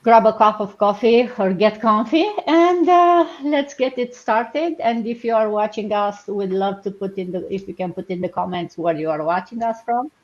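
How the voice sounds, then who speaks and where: A woman's voice: medium pitch, normal speed, few pauses. A woman hosting a live online session over a video call, chatty, with fillers and restarts. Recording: muffled lo-fi video call audio.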